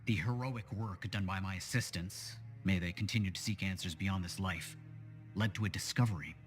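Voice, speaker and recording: A man's voice: low-pitched, moderate pace, faint music behind, lightly acted. A man playing an eccentric, pompous scholar in a sci-fi video game, speaking with theatrical precision. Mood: enthusiastic, mysterious. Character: eccentric, dramatic male scholar researcher. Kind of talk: acted game dialogue.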